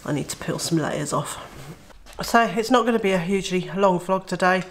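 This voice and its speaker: A woman's voice: lowish voice, normal speed, a little hiss or hum. Woman with a cold, nasal and throaty. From Wales. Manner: chatty, many uh fillers. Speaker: homesteading vlogger. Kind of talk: casual vlog to camera.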